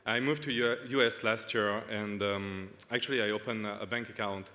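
Guy, halting demo talk, bright voice, big echoey hall. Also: fairly low pitch, steady tone. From Switzerland. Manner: halting, earnest, many fillers. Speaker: startup founder demoing. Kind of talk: stage product demo. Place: large dark hall on stage. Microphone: stage mic at a lectern. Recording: long hall reverb.

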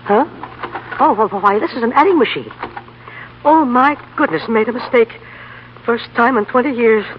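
An actor playing a meek, flustered office clerk and tinkerer, fussy and stammering, in a 1930s radio comedy play. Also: male voice, extremely high pitch, normal speed, pauses often. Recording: dull, noisy 1930s radio recording.

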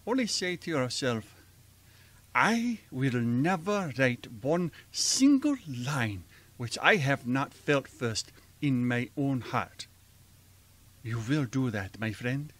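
An actor playing a gentle, earnest older professor with a European accent and halting, formal speech in a radio drama. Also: male voice, mid-range voice, dry recording, exaggerated voice. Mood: fervent, encouraging. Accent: foreign European. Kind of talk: old-time radio drama acting.

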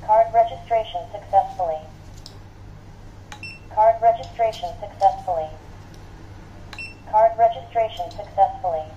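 A woman's voice: medium pitch, a little slow, pauses often, a little hiss or hum. A woman's automated voice giving scripted intercom announcements through a small device speaker. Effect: played through a small device speaker. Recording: dull small-speaker playback, busy background sound.

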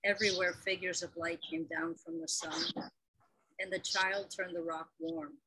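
A middle-aged woman with a husky voice, reading poetry aloud over a video call from a room at home. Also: fairly low pitch, level tone, normal speed, sharp tone, rough recording. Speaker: poetry reader. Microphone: headset on a video call.

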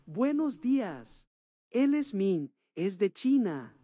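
Mellow synthetic app voice, a man's TTS. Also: high voice, big pitch swings, slow, slight background noise, full character voice. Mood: friendly. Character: friendly text-to-speech male app character.

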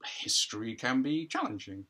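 Guy playing an aggrieved teacher on trial, lecturing and defiant, husky, lively pitch. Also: moderate pace. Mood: earnest. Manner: earnest, lecturing, pedantic.

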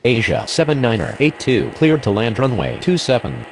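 A mid-pitched, creaky male air traffic voice , acted by a man for a game. His intonation moves around while the phrasing stays measured and procedural, with faint noise behind. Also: very animated. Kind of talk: scripted aviation radio phraseology.

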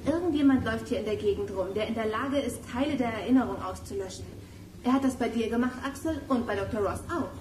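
A sensible girl detective, played by a woman reasons in a radio play for young listeners. Her voice is fairly high, in an echoey space with clear background noise. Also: fast, close to an everyday voice. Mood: reasoning. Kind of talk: youth audio drama acting.

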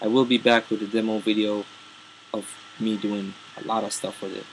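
Lower-pitched young man, casual review. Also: little pitch movement, normal speed, slight background noise, rough recording. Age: young adult. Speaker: keyboard player reviewing music gear. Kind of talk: casual product review to camera. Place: small wood-panelled bedroom, indoors. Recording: music playing behind the voice. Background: instrumental music.

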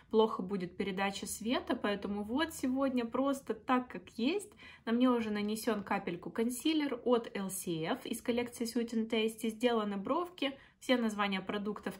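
A woman talks through a makeup review in a casual, chatty way. She is frank and grumbles openly, speaking at an ordinary, middle pitch. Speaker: beauty vlogger.

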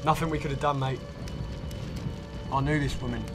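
An actor playing a slangy, defiant British newspaper reporter in wartime London, in a radio drama. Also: male voice, mid-range voice, fairly even intonation, normal speed, lightly acted. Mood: grim, defiant. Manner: chummy, slangy. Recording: music behind the voice.

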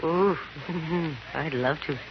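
A woman's voice: sits low, extremely slow, heavily acted. An actress playing a witty, smitten female private detective in a 1950s radio drama, with flustered, exclamatory delivery. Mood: delighted, hesitant. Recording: muffled, noisy old radio recording.

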